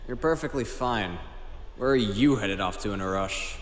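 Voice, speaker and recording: A man acting a cold, calculating crime syndicate operative with a clipped, measured delivery in an audio drama. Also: fairly low pitch, roomy sound, big-room sound, background noise, natural voice. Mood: probing.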